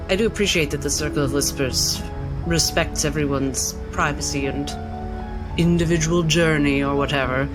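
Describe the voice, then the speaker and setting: A man playing a reserved, skeptical woman scholar, politely curious and hesitant. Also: a little high. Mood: tentative.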